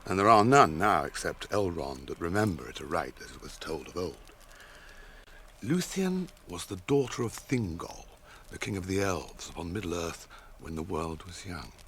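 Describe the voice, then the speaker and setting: A watchful wilderness ranger with a British accent for a radio play. His grave delivery swings expressively in a low register, measured like a storyteller's. Faint ambience sits behind him. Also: slight background noise, full character voice. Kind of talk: radio drama acting.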